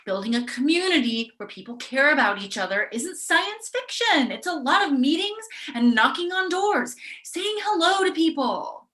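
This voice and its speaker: A woman's voice: high-pitched, animated, normal speed, few pauses, a bit bright. A woman playing an earnest, upbeat community organizer in a live staged play reading.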